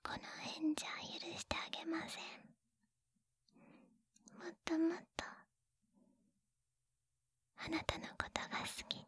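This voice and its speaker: A woman's voice: extremely high pitch, monotone, a bit bright, dry recording. A woman playing a sweet, lovestruck young librarian in an ASMR roleplay, whispering breathily and tenderly, close to a binaural mic. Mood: adoring. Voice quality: breathy, mostly unvoiced whisper. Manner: tender, slow, intimate, polite. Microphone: close binaural microphone.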